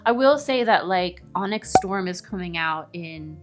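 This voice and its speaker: A woman's voice: fairly low pitch, lively intonation, measured pace, over loud music. A woman co-hosting a book show, chatting informally and bluntly with a friend as she confesses her opinions. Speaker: co-host of a book discussion show. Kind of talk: casual confessional chat with a friend.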